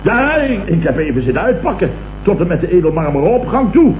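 Pompous boss, wildly animated. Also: male voice, high-pitched, fairly quick. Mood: flustered, incredulous. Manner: pompous, self-satisfied, repeats himself. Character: pompous, blustering male company boss, vain and excitable. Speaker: radio comedy actor. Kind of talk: 1960s Dutch radio comedy acting. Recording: muffled, hissy, echoey vintage radio recording.

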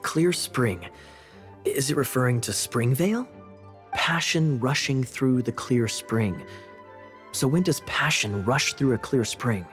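A man's voice: medium pitch, expressive, slow pace, fairly bright tone. A man playing a suave, teasing young knight in a video game, with a sly, theatrical manner. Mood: musing. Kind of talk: animated video game voice acting. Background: faint game music.

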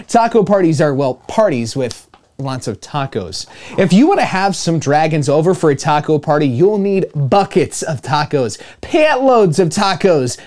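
Animated man reading a children's storybook. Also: on the high side. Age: 20s. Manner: playful, dramatic emphasis with pauses. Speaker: storytime host reading a picture book. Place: small indoor room with home studio gear.

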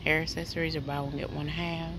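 A woman's voice: sits low, flat delivery, fairly quick. A woman vlogging as she walks through a large store, reading out sale prices in a chatty, practical way on her phone camera. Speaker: shopping vlogger. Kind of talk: walk-through store haul narration. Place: large indoor retail store. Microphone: handheld phone camera. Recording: store ambience noise behind. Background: store ambience.

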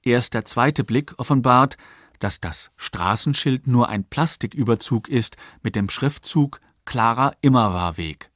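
A man's mid-pitched voice, close and dry in the studio. He narrates a radio feature from a script, factual and measured. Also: expressive, a bit bright, no echo. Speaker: narrator, documentary author. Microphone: close studio microphone.

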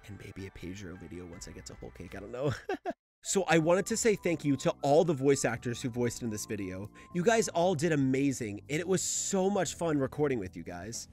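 A fairly high-voiced man hosts a casual video essay over light background music. He speaks conversationally and gives his opinions in informal language, following a script. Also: a little mellow. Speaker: host of a manga analysis channel.

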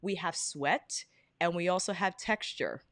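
A young woman hosting a casual beauty livestream from her apartment, bubbly and chatty, greeting viewers by name with bursts of excitement. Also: fairly low pitch, measured pace, no echo, clean studio sound. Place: apartment living room, fan humming. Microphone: desk podcast mic in front.

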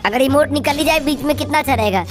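A woman's voice run through a voice changer, hosting casual gameplay commentary, chatty and repeating phrases. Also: fast. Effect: voice changer raising the pitch. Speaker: gaming video host. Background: background music.

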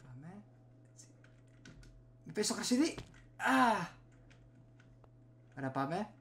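A man's voice: high-pitched, very animated, fairly bright tone. A young man giving casual gameplay commentary, muttering and reacting in short bursts. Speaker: gaming streamer. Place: small room indoors at home. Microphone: desk USB microphone.

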